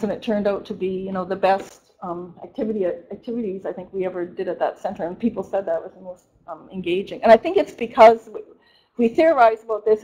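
A woman's voice: mid-range voice, warm tone, poor audio. A woman researcher giving an academic presentation in a lecture room, speaking conversationally with frequent restarts and self-corrections.

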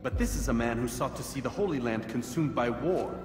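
A man's voice: mid-range voice, lively intonation, fairly quick, natural voice. A man playing a stoic, determined young assassin in a video game, serious and restrained. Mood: questioning. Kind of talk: dramatic video game cutscene acting. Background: music.

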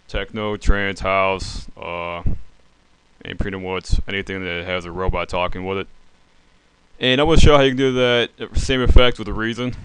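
A man narrating an unscripted software tutorial as a voice-over, instructing plainly step by step. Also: on the low side, clear, resonant tone, no room sound, poor audio. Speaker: tutorial presenter.

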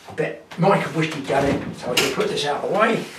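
Older male vlog host, playful and chatty, slightly high voice, recorded off-mic in an echoey cabin. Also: fast, far from the mic, noisy background. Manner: jokey and animated, chuckling asides. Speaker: fishing channel host. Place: garden cabin indoors, partly outdoors in the garden.

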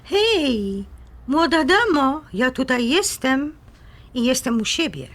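A woman's voice: sits high, big pitch swings, takes it slowly, a bit bright, exaggerated voice. An actress playing a proud, composed woman defending her home in an audio drama, formal, firm and icily polite.

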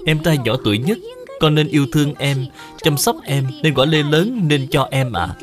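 A performer voicing a polite, dutiful little boy in an animated moral tale, speaking respectfully and earnestly. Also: medium pitch, normal speed, soft background music, natural voice. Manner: respectful, earnest, carefully explaining.

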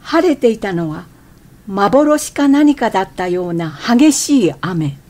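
An actress playing an irritable, changeable young wife in a radio drama, expressive and exclamatory. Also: female voice, mid-range voice, slow pace, heavy background noise. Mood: wistful. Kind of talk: radio drama acting with inner monologue.

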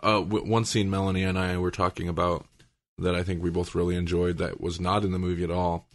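A man's voice: low-pitched, level tone, fairly quick, no room sound. A man hosting a podcast, talking casually in a rambling, conversational way as he retells things. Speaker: podcast host.

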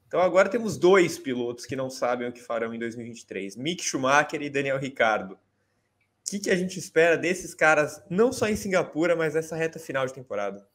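A young man with a Brazilian Portuguese accent, hosting a podcast and putting relaxed questions to his guests over a video call. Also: on the high side, fast talker. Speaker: podcast host. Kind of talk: casual chat, moderating.

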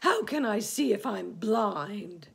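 A woman voicing a gloomy, irritable male earthworm who complains in loud, emphatic protest in a children's storybook. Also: mid-range voice, lively intonation, slow pace, slightly rough, pitched above the performer's own voice, full character voice. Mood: snappish. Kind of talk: children's storybook read aloud.

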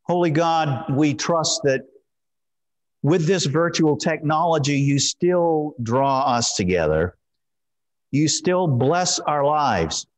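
A man in his fifties preaching informally as a pastor in a measured, gently encouraging way. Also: on the high side. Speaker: pastor leading a church service. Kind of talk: informal sermon and prayer. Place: church sanctuary, at a wooden pulpit.

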